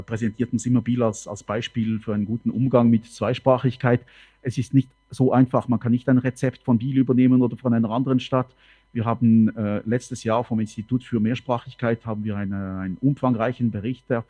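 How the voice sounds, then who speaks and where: A middle-aged man, bilingual with French as his mother tongue, speaking in a halting, measured way in a panel discussion. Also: medium pitch, dark tone, dry recording. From canton of Fribourg, Switzerland. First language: French, grew up bilingual with German. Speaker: city politician on a panel. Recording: slightly dull, dry sound.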